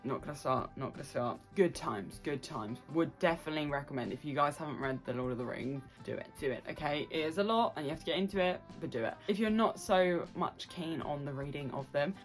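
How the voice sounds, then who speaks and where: A woman's voice: low voice, animated, brisk, hardly any pauses, soft background music. A woman hosting a casual book review vlog, talking informally and directly to her viewers and repeating phrases for emphasis. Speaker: book reviewer and video host. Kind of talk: casual chatty book wrap-up to camera.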